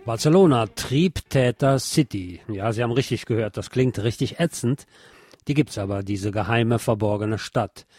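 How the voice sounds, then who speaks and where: A man's voice: medium pitch, moderate pace, darkish tone, soft background music. A man hosting a radio programme, reading scripted local news and adding his own commentary.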